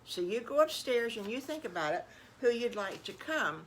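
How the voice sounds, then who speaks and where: A woman voicing a firm, patient mother pig in a children's story, calmly giving instructions. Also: a little high, moderate pace, slight background noise, pitched above the performer's own voice, rough recording. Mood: calm instructing.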